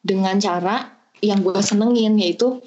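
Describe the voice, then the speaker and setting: A woman's voice: medium pitch, a little slow, mellow, lo-fi sound, a little hiss or hum. A young woman from Bandung, a podcast guest, chatting casually and explaining things step by step with many hesitations over a remote call. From Bandung, Indonesia. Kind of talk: casual chat.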